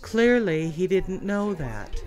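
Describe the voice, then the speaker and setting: An actress playing a clever young woman who calmly explains a solution in an audio drama. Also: on the low side, slow. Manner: calm, quietly sure of herself. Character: Sally Andrews, sharp young woman who solves the case.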